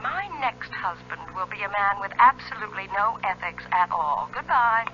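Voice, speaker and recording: An actress playing a brisk, demanding, teasing wife in a 1970s radio drama. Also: female voice, medium pitch, natural voice. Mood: sardonic. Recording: lo-fi radio, phone call, faint hum.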